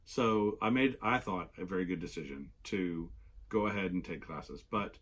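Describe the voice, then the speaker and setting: A low, husky-voiced man talks casually to his viewers. He is still a little hoarse after losing his voice, and he rambles, with plenty of ums and self-corrections. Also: moderate pace, a bit bright. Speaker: knitting channel host. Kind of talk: casual solo vlog.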